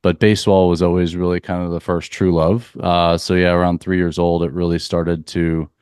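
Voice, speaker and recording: A man from Central Florida, a leadership coach for athletes, talking calmly and earnestly in a reflective podcast interview. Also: sits low, normal speed, clear, resonant tone, dry recording, clean studio sound. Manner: calm, earnest, spiritual phrasing.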